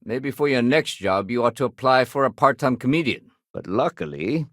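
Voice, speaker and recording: A man playing a steady, dutiful security sergeant in a video game, speaking conversationally with official firmness and dry wit. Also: medium pitch, moderate pace, no echo, close to an everyday voice. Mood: wry, firm. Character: steady, dutiful male spaceport security sergeant, dryly wry.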